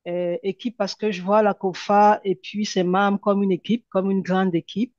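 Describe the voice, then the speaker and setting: Halting woman on a muffled video call. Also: medium pitch, flat delivery, a little mellow, no echo. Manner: halting, repeats words. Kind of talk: online meeting introduction. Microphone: computer mic on video call. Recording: dull video-call audio.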